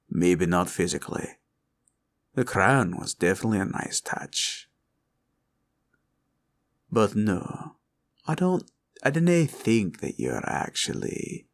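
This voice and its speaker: A man playing a proud, cunning green dragon in a villain roleplay audio, with a taunting drawl and a gravelly growl. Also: deep voice, exaggerated voice. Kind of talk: roleplay ASMR villain monologue.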